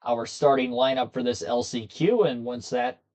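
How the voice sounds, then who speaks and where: A young man with a slightly hoarse voice, chatting casually with viewers as he hosts a racing commentary livestream from a desk in a small room. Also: medium pitch, moderate pace, a little mellow. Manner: chatty, talks straight to his viewers.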